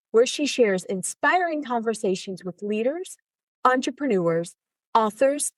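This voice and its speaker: A woman's voice: medium pitch, dry recording, low-quality recording. A woman hosting a podcast, polished and formal as she introduces her guest and asks questions. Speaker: podcast host.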